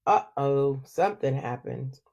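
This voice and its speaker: Slow, expressive woman reading a storybook. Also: a bass voice, gravelly, highs cut off. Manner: slow and expressive, asks the children questions. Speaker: children's story reader. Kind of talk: read-aloud storytelling for children.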